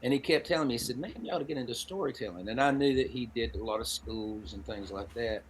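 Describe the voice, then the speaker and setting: A man's voice: mid-range voice, fast talker, slight background noise, poor audio. A man in his seventies with a Southern Appalachian American accent, telling personal anecdotes in a folksy, wry, conversational way. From Appalachian Tennessee, United States. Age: 70s. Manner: folksy, wry, dry humor. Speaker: podcast guest, storyteller and songwriter.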